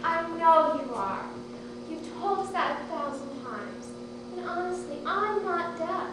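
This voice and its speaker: A high-voiced, fussy aunt played by a woman who gushes and lectures with prim, theatrical politeness. She is heard on a very echoey stage from far off, with clear noise over the recording. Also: lively intonation, measured pace. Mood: impatient. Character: fussy, genteel Southern aunt coaching her shy niece. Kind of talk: stage acting in a one-act comedy. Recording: echoey stage, distant mic, hissy background noise.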